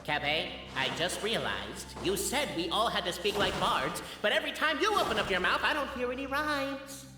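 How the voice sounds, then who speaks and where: An actor playing an excitable, grandiose bard-like clan historian in a fantasy video game, in an echoey hall. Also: male voice, high voice, normal speed. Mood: animated, teasing. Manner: grandiose, declaiming. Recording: echoey hall reverb with music.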